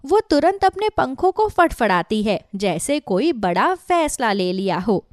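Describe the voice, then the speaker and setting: A woman's voice: a little high, big pitch swings, measured pace, no room sound, studio quality. A woman narrating a scripted children's cartoon story.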